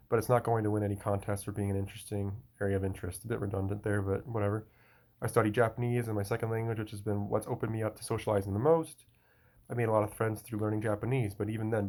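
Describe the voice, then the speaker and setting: A man's voice: lowish voice, a little mellow. A young man talking in a casual solo monologue, rambling and restarting often. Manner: rambling, frequent restarts and fillers.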